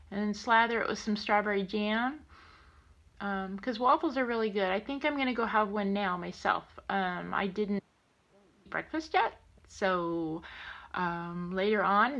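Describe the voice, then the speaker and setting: A woman's voice: medium pitch, a bit bright, highs cut off. An older woman, a grandmother reading a picture book and chatting to her young grandchild in a playful, sing-song, affectionate way. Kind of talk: animated read-aloud and affectionate chat to a young child.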